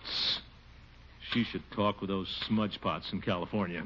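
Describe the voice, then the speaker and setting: A man's voice: low voice, steady tone, unhurried. An actor playing a pompous middle-aged man in a 1940s radio sitcom, grumbling in exasperated asides and bursting out indignantly. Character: blustery, pompous middle-aged man harried at his office. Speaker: title character of a radio sitcom. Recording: hissy, muffled 1940s radio broadcast.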